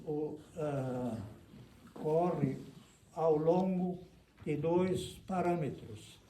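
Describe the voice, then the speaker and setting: An older man, a native German speaker, giving a reflective public talk, halting and restarting often as he searches for words. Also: a little high, mellow. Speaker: speaker at a book event. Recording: echoey room, mic at a distance, noisy.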